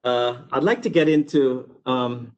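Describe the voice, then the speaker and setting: A man's voice: mid-range voice, measured pace, a little mellow, highs cut off. A man from Guyana giving an informal academic webinar lecture, explaining step by step with repeated words and asides. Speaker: university researcher giving a webinar.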